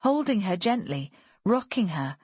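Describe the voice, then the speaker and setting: A woman's voice: medium pitch, sing-song, measured pace, a little mellow, husky, dry recording, clean studio sound. A woman reading a dramatic novel as scripted audiobook narration.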